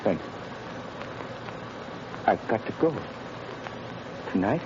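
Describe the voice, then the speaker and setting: Innocent man facing execution, low resigned voice, halting, old radio drama with very heavy noise. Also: animated. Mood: despairing, resigned. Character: condemned innocent man, weary and despairing. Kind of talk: 1930s radio drama acting. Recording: very noisy, muffled old radio recording.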